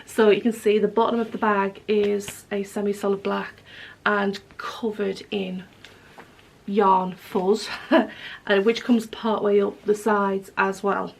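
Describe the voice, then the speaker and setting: A woman chats casually from her living room, her voice hoarse and croaky from a cold, with a gravelly rasp. She rambles through her plans, correcting herself and filling pauses with ums. Her pitch sits in a middle range. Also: flat delivery, a little hiss or hum. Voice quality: croaky, husky. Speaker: knitting vlogger. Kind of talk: casual vlog chat. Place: home living room with wood stove.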